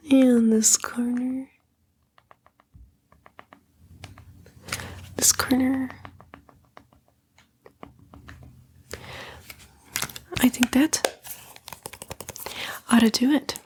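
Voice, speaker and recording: A demon temptress played by a woman whispers in an ASMR roleplay, very breathy and high. She is a fallen angel who coaxes and condescends at an unhurried pace, vain and sly. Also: mellow, full character voice. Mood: coaxing, soothing.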